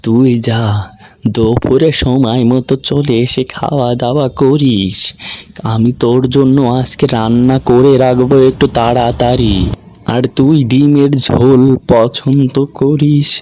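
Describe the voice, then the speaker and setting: A man voicing a kindly, fretful old village grandmother in a cartoon, speaking in a slow, drawn-out, plaintive way. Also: medium pitch, fairly even intonation, darkish tone, slight background noise, lightly acted. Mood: doting. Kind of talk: cartoon character acting.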